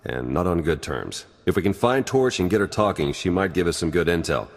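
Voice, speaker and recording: A man's voice: low-pitched, expressive, brisk. An actor playing an easygoing, practical male ally in a video game, talking casually in a reverberant space. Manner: casual, conversational. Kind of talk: scripted video game dialogue. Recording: reverberant hall.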